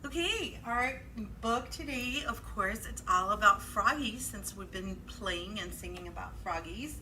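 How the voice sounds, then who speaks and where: A woman's voice: medium pitch, expressive, measured pace, sharp tone, a little hiss or hum, poor audio. A woman hosting a children's library storytime, speaking in an exaggerated sing-song way for young children. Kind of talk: children's storytime, read-aloud and singalong.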